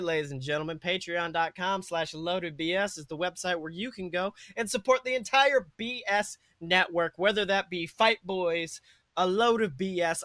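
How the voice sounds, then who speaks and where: A man with a high, bright voice chats as a podcast co-host. He is animated and jokes crudely in a loose, casual way. The sound is close and dry. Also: no room sound. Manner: animated, crude joking, swears freely. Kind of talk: casual comedic podcast banter.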